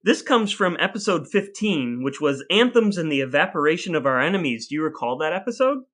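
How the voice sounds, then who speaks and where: A man's voice: on the high side, very animated, a little fast, fairly bright tone. An American man co-hosting a podcast with wry, mock-formal, wordy phrasing, moving between scripted reading and chat. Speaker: podcast co-host.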